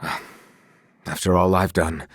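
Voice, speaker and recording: An actor playing an earnest, guilt-ridden young knight in a fantasy video game, speaking in formal, archaic courtly phrases. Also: male voice, low voice, little pitch movement, a little slow, husky, no echo, clean studio sound. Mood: somber, penitent. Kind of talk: dramatic fantasy game voice acting.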